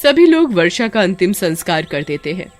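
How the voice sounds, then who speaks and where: A woman's voice: fairly low pitch, very animated, normal speed, faint music behind. A woman narrating a scripted story as a storyteller.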